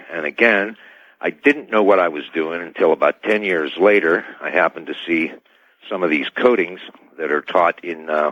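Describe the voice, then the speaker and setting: A middle-aged man giving formal, halting technical explanations as an expert guest in a radio interview, over a dull band-limited connection. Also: extremely low pitch, dry recording. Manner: hesitant, formal, technical wording. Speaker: expert guest, scientist and inventor.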